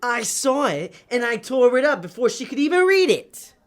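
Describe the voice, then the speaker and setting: A man playing an earnest, soft-hearted damned soul in an audio drama, hesitant and apologetic. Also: very high-pitched, very animated, normal speed.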